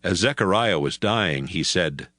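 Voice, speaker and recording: A man narrating an audio Bible drama from a script in a measured storytelling manner. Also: low voice, lively intonation, sharp tone, dry recording.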